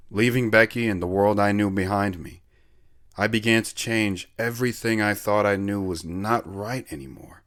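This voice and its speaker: A man's voice: on the low side, a bit bright, smooth, no room sound, clean studio sound. A man playing an earnest war veteran who recounts his life story in a reflective, sentimental way in an audio drama.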